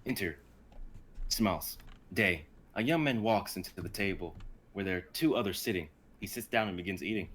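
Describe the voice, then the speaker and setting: A man's voice: sits low, animated, moderate pace, a bit bright, slight background noise. A young man giving a dramatic narration of an amateur script. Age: young adult. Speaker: narrator, stream performer.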